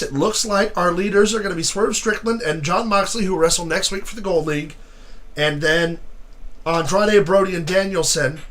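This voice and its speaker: A man with a high, bright voice chats casually as a wrestling show host. He is losing his voice, and he breaks into shouted, swearing rants. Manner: ranting, swearing, shouting in bursts. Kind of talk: casual chat.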